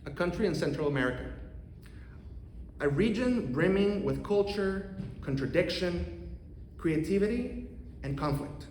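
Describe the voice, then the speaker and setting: A man in his thirties from Costa Rica with a Costa Rican accent, an economics professor giving an earnest, measured prepared talk to a congregation. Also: sits high, lively intonation, darkish tone, a little hiss or hum. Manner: earnest, measured, with occasional restarts. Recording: roomy hall reverb, faint ambience.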